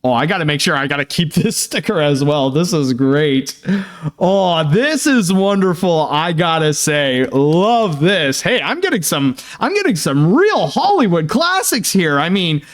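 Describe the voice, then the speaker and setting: A young Canadian man chats his way through opening packages from fans, his high voice leaping up and down. He takes his time, full of fillers, restarts and sudden bursts of excitement. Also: unhurried. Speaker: channel host opening fan mail. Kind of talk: casual unboxing chat.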